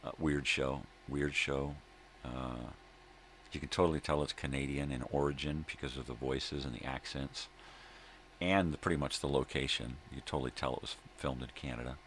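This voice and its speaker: A middle-aged man with a gravelly voice hosting a casual solo vlog, halting and repeating himself as he grumbles his opinions. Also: extremely low pitch, steady tone, a bit bright. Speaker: host of a daily morning video log. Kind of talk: rambling casual solo chat. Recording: noticeable background noise.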